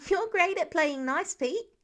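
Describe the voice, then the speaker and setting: A woman's voice: very high-pitched, lively intonation, measured pace, a bit bright, voice pushed up, heavily acted. A woman voicing an upset, insistent little boy in a storybook reading, pleading earnestly.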